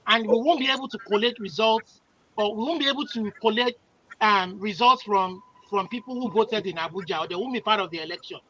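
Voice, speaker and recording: A man's voice: high-pitched, brisk, bright, forceful tone, muffled recording. A man, a panel guest, argues insistently and leans hard on single words to make his point. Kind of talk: heated live panel discussion.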